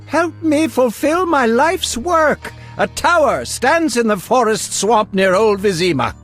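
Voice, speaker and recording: A man's voice: very high-pitched, animated, unhurried, a bit bright, exaggerated voice. A man playing an eccentric, pompous, absent-minded alchemist in a video game, with theatrical delivery. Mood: lecturing. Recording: music behind.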